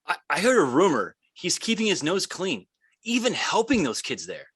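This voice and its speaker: A nervous male henchman, acted by a man, hesitant and stammering. His voice is high and rough, with very lively swings, as in a table read. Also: moderate pace, dry recording, clean studio sound, exaggerated voice. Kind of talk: screenplay table read acting.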